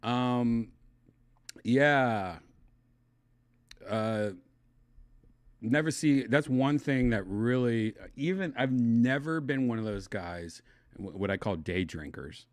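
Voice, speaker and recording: A middle-aged man from Wisconsin with a slight lisp, chatting casually and reading his stream chat, loose and mumbling, trailing off into quiet asides. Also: mid-range voice, slow, long pauses, no echo. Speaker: livestream host reading chat.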